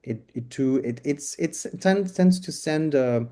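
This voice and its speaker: A man's voice: on the high side, unhurried, dark tone. A man with a French accent, answering interview questions in a loose, hesitant way with many restarts. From France. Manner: hesitant, many restarts and fillers while explaining. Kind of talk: casual conversational interview answers.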